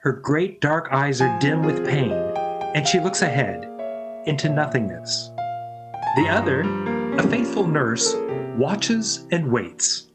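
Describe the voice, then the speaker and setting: A man's voice: a little high, big pitch swings, slow pace. A man reading as a radio drama announcer and narrator in a scripted style. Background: plucked guitar music.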